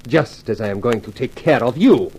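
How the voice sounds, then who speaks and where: Smug murderous stage dancer played by a man, 1950s radio thriller, animated pitch, savours each threat, faintly noisy recording. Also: moderate pace, close to an everyday voice. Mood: smug menace. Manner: smug, leisurely, gloating. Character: menacing male Adagio dancer, smug, murderous strangler.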